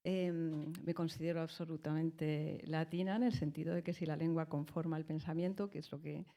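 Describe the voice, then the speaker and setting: A woman from Spain, speaking as a musician on a public panel, thoughtful and halting with many restarts. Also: deep voice, fairly even intonation, dark tone. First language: Spanish.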